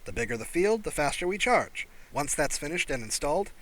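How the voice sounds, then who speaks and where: A man's voice: on the high side, very animated, a little fast, fairly bright tone. A man voicing a confident female test pilot in an audiobook, proud and playful, explaining technical details breezily. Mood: proud, enthusiastic.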